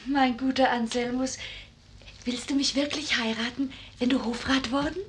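A woman's voice: high-pitched, fairly even intonation, moderate pace, lightly acted. An actress in a radio play voicing a sweet, courteous young woman who is coquettish and set on marriage. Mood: tender. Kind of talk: German radio play acting.

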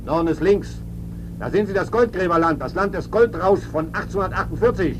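Actor as high-voiced genial airline pilot over loud engine noise. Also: male voice, fairly quick, natural voice. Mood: chatty. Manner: courteous, casual, chatty. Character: genial male airline pilot addressing his passengers. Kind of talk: in-flight announcement in a German radio play. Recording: very noisy, engine hum of an aircraft. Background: loud humming vehicle engine noise.

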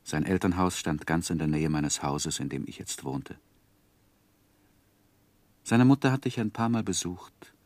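A deep-voiced, sorrowful gentleman looks back on his life. His delivery is measured and solemn, like literary radio drama, with a dry, close sound. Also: no room sound. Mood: solemn grief. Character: grieving, reflective gentleman narrating his life story. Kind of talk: literary radio play narration.

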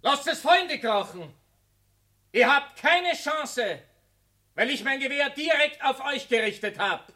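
A man's voice: very high-pitched, animated, slow, bright, forceful tone, heavily acted. A young man playing a clever, self-assured student in a satirical radio drama, with theatrical delivery. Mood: cocky, menacing. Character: clever young male student, calmly cocky schemer.

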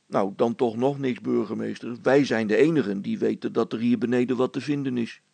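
A man's voice: mid-range voice, a little fast, creaky, warm tone, breathy edge, no room sound. A man voicing a brusque, practical father in a children's story, blunt, emphatic and easily exasperated. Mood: firm.

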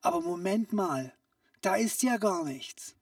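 Female actor as shady radio-play peddler: slow, conspiratorial, secretive, swooping between murmurs and sales patter. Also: mid-range voice, expressive, no echo, studio quality, far from the mic. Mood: businesslike. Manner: conspiratorial hushing, coaxing, often dropping into whispers. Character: sly, secretive street peddler hawking invisible goods. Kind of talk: comic sketch audio play.

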